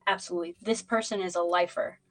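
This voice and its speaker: A woman's voice: fairly low pitch, steady tone, fast talker. A woman hosting an informal livestreamed tarot reading, talking straight at the listener in a blunt, emphatic and dramatic way. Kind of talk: informal live zodiac card reading.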